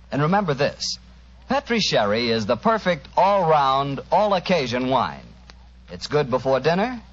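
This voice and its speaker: An American man announcing a 1940s radio commercial in a conversational, emphatic sales style. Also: fairly high pitch. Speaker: radio announcer reading a sponsor's advertisement. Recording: muffled old radio recording.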